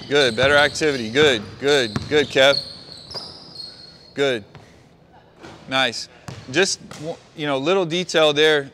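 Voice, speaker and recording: A middle-aged man, a basketball coach, walking his players through a play on the court and calling out quick praise. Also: mid-range voice, fairly bright tone, a little hiss or hum. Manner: talks players through a walk-through, calls out praise. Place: large indoor gym.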